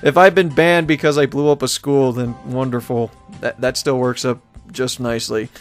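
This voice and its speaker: A man's voice: medium pitch, steady tone, normal speed. A man narrates a visual novel in a casual let's play style. He slips between reading and playful, wry remarks, with music running behind his voice.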